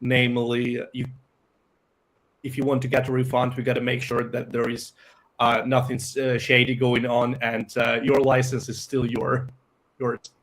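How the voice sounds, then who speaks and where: Man, ukrainian native speaker, founder answering questions, halting delivery, lots of fillers. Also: mid-range voice, completely flat delivery. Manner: halting, many fillers and pauses. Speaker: software company founder. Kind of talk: live interview answers.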